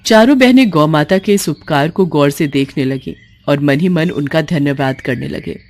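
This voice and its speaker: A woman narrating a scripted moral story in an expressive, emotive, dramatic way. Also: low voice, moderate pace.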